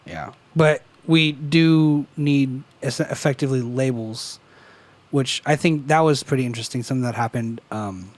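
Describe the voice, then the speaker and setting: A man's voice: mid-range voice, normal speed. A young man co-hosting a podcast in casual chat, profane and joking, his voice cracking as he argues. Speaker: podcast co-host. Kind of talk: casual chat over beers.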